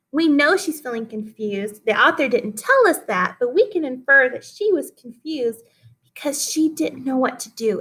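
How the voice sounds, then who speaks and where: A woman's voice: a little high, fairly bright tone, low-quality recording. A woman teacher leading a read-aloud lesson for children, explaining and guiding listeners in an instructive way. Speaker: teacher reading poems aloud for pupils.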